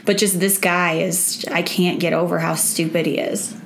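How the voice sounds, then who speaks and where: A woman with a croaky voice from a cold co-hosting a casual true-crime podcast, explaining events plainly. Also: lowish voice, flat delivery, normal speed, slight background noise. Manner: explains plainly, agrees often. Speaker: podcast co-host.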